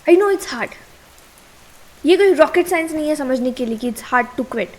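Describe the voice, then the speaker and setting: High-voiced young Indian girlfriend, acted by a woman. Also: lively intonation, unhurried, darkish tone. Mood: exasperated. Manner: casual, nagging, switches between two languages. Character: caring, suspicious, easily exasperated young girlfriend. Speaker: ASMR roleplay voice actress. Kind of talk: ASMR girlfriend roleplay, Hindi mixed with English. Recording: hiss and rain sound behind.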